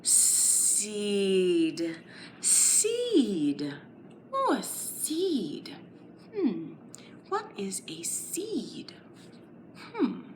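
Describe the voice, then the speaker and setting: A woman's voice: mid-range voice, huge pitch swings, very slow, pauses often, darkish tone, slight background noise. A woman leading a children's story time lesson, playful and exaggerated, encouraging little listeners with questions and sing-along phrases.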